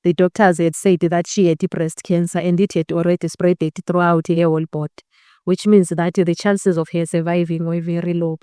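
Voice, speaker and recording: Clear-voiced young Zimbabwean woman, fairly low. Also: level tone, a little fast, mellow, no echo, studio quality. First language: Ndebele. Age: 20s. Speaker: interview guest. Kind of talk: personal testimony in a documentary-style interview.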